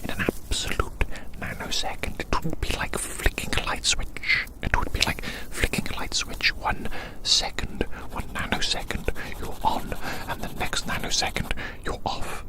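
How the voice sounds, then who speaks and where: A whispered ASMR voice, recorded outdoors. The performer muses slowly and repeats phrases softly, speaking directly to the listener like a small friend in a gentle roleplay.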